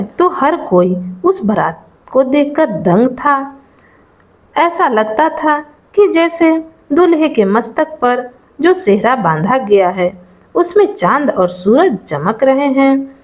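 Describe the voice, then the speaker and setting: A woman narrating a scripted folk tale as a storyteller. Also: high-pitched.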